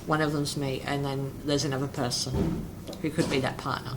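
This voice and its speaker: A man talking as a guest in a casual interview, hesitant and halting with frequent restarts. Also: mid-range voice, little pitch movement. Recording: noisy background.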